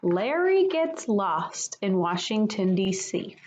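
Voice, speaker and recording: A woman, a kindergarten teacher, reading a children's picture book aloud in an expressive manner for young listeners. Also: medium pitch, slow pace, muffled recording.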